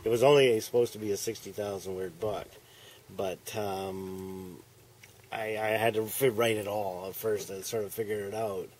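Older man, low voice, rambling outdoors, faint background hiss. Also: fairly even intonation, moderate pace, a little mellow, poor audio. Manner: rambling, trailing off, frequent "right?". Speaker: author. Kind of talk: relaxed interview chat. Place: outdoor farm patio in summer.

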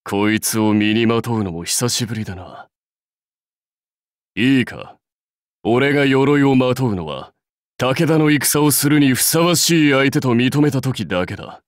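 An actor playing a proud, fierce warlord general in a mobile game, terse, rough and commanding. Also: male voice, mid-range voice, lively intonation, unhurried, no echo, studio quality, close to an everyday voice. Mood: stern, confident. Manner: terse, rough, declamatory delivery. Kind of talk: anime-style mobile game battle and dialogue voice lines.

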